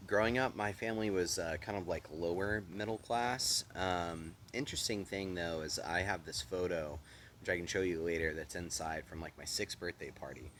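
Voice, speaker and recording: A man in his thirties from Austin, Texas, telling stories from his childhood in a relaxed, rambling way as an interview guest. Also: deep voice, moderate pace, a little hiss or hum. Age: 30s. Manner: relaxed, rambling, says "like" and "you know" a lot. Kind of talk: casual conversational storytelling.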